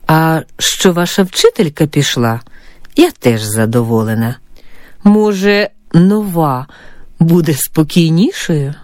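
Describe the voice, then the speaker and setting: A woman playing a calm, skeptical mother in a children's radio story. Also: low-pitched, takes it slowly, no echo, clean studio sound.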